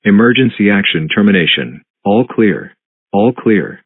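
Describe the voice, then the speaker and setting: A man playing a solemn, official emergency alert announcer, reading formal, measured scripted notices. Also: fairly low pitch, animated, no echo. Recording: muffled lo-fi broadcast audio.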